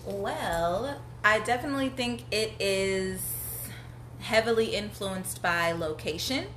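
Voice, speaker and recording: A woman's voice: medium pitch, takes it slowly, fairly bright tone. Candid woman in her thirties, echoey. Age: 30s. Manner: candid, reflective, thinking aloud with ums. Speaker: guest. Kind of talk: casual conversation. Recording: echoey room with faint noise.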